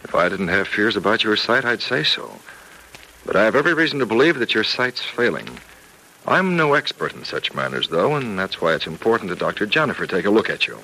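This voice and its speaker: Deep, earnest radio-drama doctor. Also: male voice, sing-song, brisk, bright, forceful tone, exaggerated voice. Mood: earnest, insistent. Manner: patient, persuasive, professional. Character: earnest, firm, reassuring male doctor. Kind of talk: old-time radio serial drama acting. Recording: noisy vintage radio drama recording.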